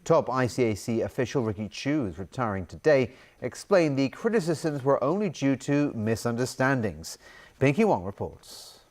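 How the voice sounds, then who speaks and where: A man's voice: mid-range voice, very animated, moderate pace, darkish tone. A man working as a news anchor, presenting scripted news.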